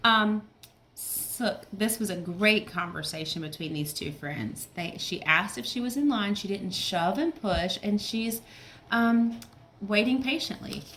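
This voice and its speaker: A woman's voice: mid-range voice, animated, unhurried, fairly bright tone, slight background noise. A woman teacher reading a picture book aloud to young children, with simple explanations and check-in questions.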